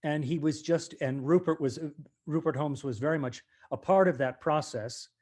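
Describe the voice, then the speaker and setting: Higher-pitched man, emphatic interview guest. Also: normal speed, a little mellow. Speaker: stage actor, guest. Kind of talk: reflective interview chat.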